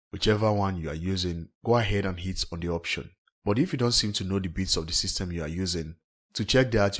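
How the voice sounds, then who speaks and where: A man narrating a scripted step-by-step software tutorial as a tech host. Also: low voice.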